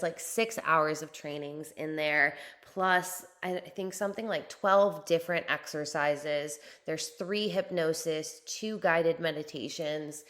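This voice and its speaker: A young woman hosting a podcast, talking casually and enthusiastically about her coaching program, her voice a little raspy from teaching, with lots of "like" and casual swearing. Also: deep voice. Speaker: podcast host and spiritual coach. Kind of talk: casual monologue promoting a course.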